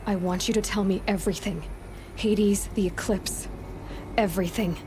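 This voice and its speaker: A woman's voice: mid-range voice, steady tone, moderate pace, lightly acted. An actress playing a determined, sceptical young female hunter in a video game, questioning in a guarded, pointed way. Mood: sceptical, demanding. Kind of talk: dramatic video game acting. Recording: noticeable background noise and ambience.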